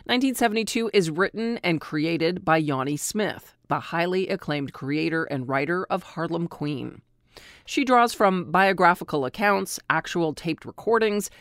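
A woman's voice: deep voice, dry recording, clean studio sound. A woman podcast host reading a scripted sponsor message. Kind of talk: scripted ad read.

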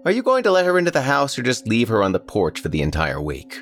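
A man voicing a calm, gently wry mother who speaks slowly and evenly. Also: medium pitch, faint music behind, voice pushed up. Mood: calm, dry.

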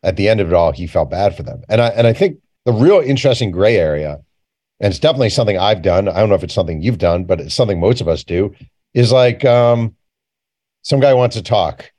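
A man's voice: lowish voice, lively intonation, quick pace, mellow. A man speaking as an interview guest, telling stories casually and informally, often quoting bits of remembered conversation. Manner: informal, acts out remembered dialogue plainly. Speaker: guest, journalist and author.

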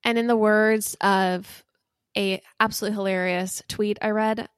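A woman's voice: medium pitch, fairly even intonation, normal speed, clean tone, no echo, clean studio sound. A woman co-hosting a podcast in casual comedic chat, with playful sarcasm and exaggeration. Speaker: podcast co-host.

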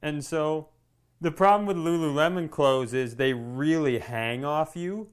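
A man who works as a comedian, co-hosting a podcast with animated, exaggerated, sweary storytelling in casual banter. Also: fairly high pitch, measured pace, clear, resonant tone.

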